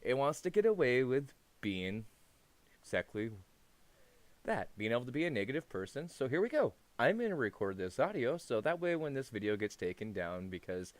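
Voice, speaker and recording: A man's voice: on the low side, dry recording. A man giving casual spoken commentary as he introduces a recording. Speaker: video uploader introducing mirrored audio.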